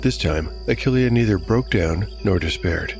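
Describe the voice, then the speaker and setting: Low-voiced man narrating dark fantasy. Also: animated, gravelly, faint music behind. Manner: measured, literary storytelling. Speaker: narrator and solo game master. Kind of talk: scripted audiodrama narration.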